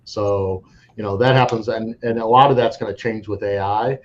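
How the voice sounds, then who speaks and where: A man's voice: on the low side, fairly even intonation, normal speed, darkish tone. A man speaking as a coin dealer guest in an interview, frank and rambling, often restarting his sentences. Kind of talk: casual interview talk. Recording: dull lo-fi audio with faint noise.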